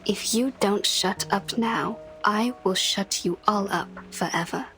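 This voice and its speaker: A woman voicing a stern, composed and quietly menacing authority figure, measured and commanding, in a video game. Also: mid-range voice, faint music behind, lightly acted. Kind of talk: dramatic video game voice acting.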